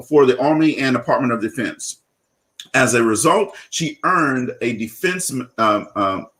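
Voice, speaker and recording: A man's voice: a little high, a bit bright. A man hosting a leadership interview show, introducing his guest with frequent fillers and restarts. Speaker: show host.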